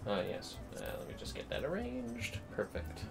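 A man's voice: a little high, wildly swooping pitch, normal speed, vocal fry, over music. A man hosting a casual gaming livestream from home, murmuring to himself and tossing playful asides to his viewers. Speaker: livestreamer, host. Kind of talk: casual gaming livestream commentary, talking to chat. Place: indoors at home.

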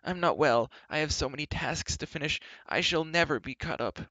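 A man's voice: medium pitch, expressive, moderate pace, a bit bright, dry recording. A man voicing a gloomy, nervous fair-folk creature in a storybook reading, moaning, dithering and full of self-pity. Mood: fretful, evasive.

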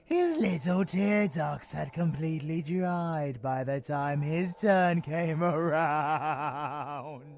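Slow, creaky British supervillain. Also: male voice, on the high side, expressive, slight background noise. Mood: gleeful mocking. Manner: sing-song, taunting, savouring words slowly. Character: sadistic, gleeful cannibal supervillain monster, taunting and theatrical. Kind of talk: audio roleplay villain acting.

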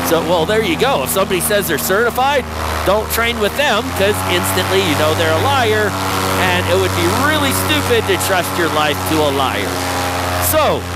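Emphatic man over roaring motor. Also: sits high. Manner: emphatic, boastful, blunt. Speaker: paramotor instructor. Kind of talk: talking to camera while flying. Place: outdoors in flight over dry hills. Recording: loud engine noise over voice. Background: loud motor drone.

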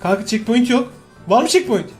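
A man with a high-pitched voice chats through gameplay with a friend. He is excitable and slangy, often exclaiming and pleading, with light music underneath. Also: a little fast. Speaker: gaming video host. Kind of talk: casual gameplay banter with a friend.

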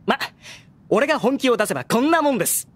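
An actor playing a brash, spoiled young male royal heir who talks in a rough, blunt, sulky way in an anime drama CD. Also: very high voice, big pitch swings, quick pace, exaggerated voice. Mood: cocky.

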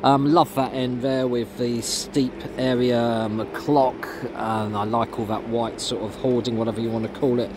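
A man's voice: medium pitch, level tone, normal speed, few pauses. A man giving a casual walking commentary as a vlogger, talking with lots of ums while he films on his phone around a big football stadium. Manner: chatty with many ums. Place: outdoors and inside a large football stadium. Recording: noisy outdoor stadium recording.

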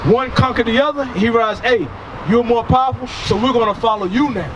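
High-voiced man preaching on a street. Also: a little fast, lo-fi sound. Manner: emphatic, asks rhetorical questions. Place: outdoors by a street. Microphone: handheld microphone. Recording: outdoor traffic noise. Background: passing cars.

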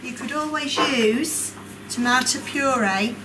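Woman showing how to cook, slow and clear, bit high voice, echoey kitchen with pans clanking. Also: recorded from a distance. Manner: calm, clear, instructive. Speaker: cooking instructor. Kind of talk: step-by-step cooking demonstration. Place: large college kitchen classroom, indoors. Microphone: distant camera mic. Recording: echoey room, voice from afar. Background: kitchen clatter and room noise.

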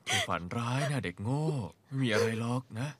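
A man's voice: medium pitch, normal speed, a bit bright, no room sound, lightly acted. An actor playing a concerned younger man in a dubbed TV melodrama, coaxing and reasoning with someone. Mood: soothing.

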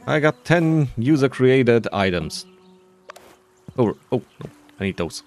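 Male gamer, unhurried casual commentary, half talking to himself, soft music underneath. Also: mid-range voice, animated. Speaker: gamer narrating a playthrough.

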